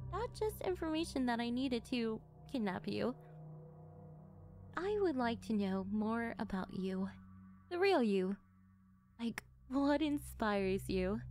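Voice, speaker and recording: A woman playing a sweet, doting middle-aged mother who is a calmly menacing kidnapper, speaking in a sugary, unhurried ASMR roleplay style. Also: a little high, full character voice. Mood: sweet, chatty. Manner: sugary, unhurried, calm about disturbing things.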